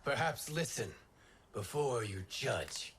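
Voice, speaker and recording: A man playing a calm, earnest god in a video game, speaking formally and persuasively in measured phrases. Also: mid-range voice, background noise. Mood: calm urging. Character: calm, earnest male wind god, a reasoning brother. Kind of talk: video game cutscene acting. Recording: music behind the voice.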